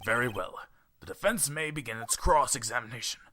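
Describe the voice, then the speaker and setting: A man voicing an older male courtroom judge who talks in a stern, lively way, in a fan dub. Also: medium pitch, a little fast, a little hiss or hum.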